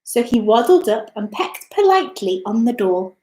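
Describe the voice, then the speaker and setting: Animated woman storyteller in a roomy space. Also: fairly high pitch, background noise. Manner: expressive, deliberate storytelling. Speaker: children's storyteller. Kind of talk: scripted children's story narration. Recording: echoey, roomy sound.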